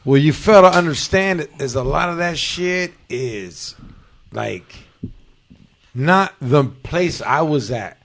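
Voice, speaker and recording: A male podcast host with a fairly high-set voice, talking in loose street slang. He jokes with his guests and tells stories in an easy, casual flow. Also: expressive, slow, creaky, a bit bright. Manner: loose, slangy, joking banter.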